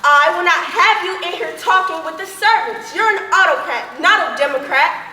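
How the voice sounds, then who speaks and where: A woman's voice: very high voice, normal speed, pushed and bright, a little hiss or hum. A young female student playing a nagging, haughty fairy-tale stepmother turned royal wife, scolding imperiously on stage. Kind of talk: school stage comedy acting. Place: school stage in a hall. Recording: echoey hall, long reverb.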